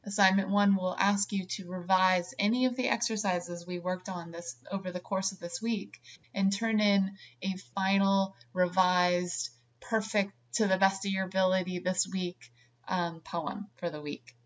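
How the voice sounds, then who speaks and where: A woman's voice: mid-range voice, steady tone, unhurried, muffled recording. A middle-aged woman, a creative writing instructor, delivering a recorded online course lecture in an earnest way, with many ums and restarts.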